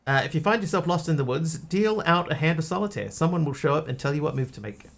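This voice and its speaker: A man's voice: a little high, lively intonation, fast talker, gravelly. A man with a mixed Australian and New Zealand accent, chatting casually as a game streamer and answering his chat. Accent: Australian-New Zealand hybrid. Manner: rambling, easygoing, answers chat.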